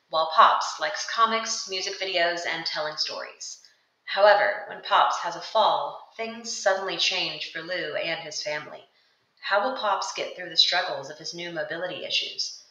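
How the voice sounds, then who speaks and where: A woman's voice: lowish voice, reverberant, lo-fi sound. A young adult woman giving a scripted, earnest voice-over that recommends books for a classroom library. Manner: steady, earnest read-aloud delivery. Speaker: student presenting book recommendations. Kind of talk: scripted narration of a video ad. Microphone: voice-over over still book pages.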